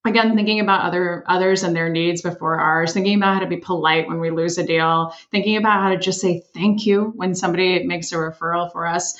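A woman from Switzerland, a sales leader and co-host, chatting casually on a panel, animated and quick to laugh. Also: on the low side, muffled recording. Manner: animated, laughs often, acts out quotes.

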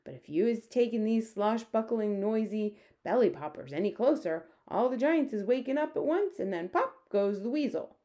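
Woman as friendly storybook giant, male, earnest, jumbled grammar, quirky words, lifted pitch. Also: lively intonation, normal speed, hardly any pauses, mellow, close to an everyday voice. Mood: cautioning. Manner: muddled grammar and nonsense words.

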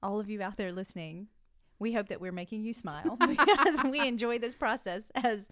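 A woman's voice: mid-range voice, brisk, fairly bright tone. A Southern woman co-hosting a faith podcast. She asks the questions in a playful, giggly way. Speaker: podcast co-host. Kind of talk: casual chat, asking the questions.

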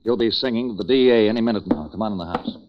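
An actor playing a gruff, sarcastic police detective with an American accent, needling and accusing in 1940s radio drama style. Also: male voice, fairly low pitch, racing, noisy background, close to an everyday voice. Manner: needling, sardonic. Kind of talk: 1940s hardboiled radio acting. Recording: muffled lo-fi 1940s radio recording.

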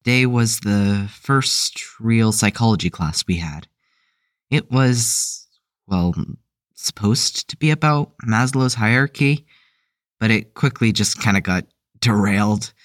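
An actor playing an uneasy young male psychiatric patient in an audio drama, halting and unsure as he talks. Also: lowish voice, dry recording, studio quality. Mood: uneasy, reflective. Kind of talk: audio drama acting, confiding.

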